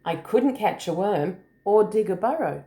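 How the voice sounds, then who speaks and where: A woman's voice: medium pitch, animated, unhurried, warm tone, echoey room. A woman voicing a small, earnest, easily saddened young male wallaby in a children's storybook. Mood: sad, doubtful. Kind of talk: children's storybook read aloud.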